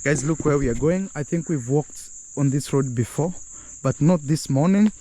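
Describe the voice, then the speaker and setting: Guy vlogging outside, bit high gravelly voice, keeps saying guys. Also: normal speed, dark tone, rough recording. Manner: addresses viewers as guys. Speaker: vlogger host. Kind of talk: casual travel vlog. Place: outdoors on a road near a beach.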